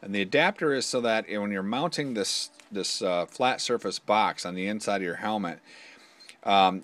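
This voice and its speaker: A man's voice: low voice, fairly bright tone. A man casually explaining a product step by step in an informal hands-on demonstration, pointing out parts as he goes.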